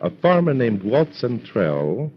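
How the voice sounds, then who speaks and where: An actor playing an investigator who recounts a crime case as narrator, serious, in old-time radio mystery style. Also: male voice, mid-range voice, sing-song, takes it slowly. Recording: dull, noisy vintage radio recording.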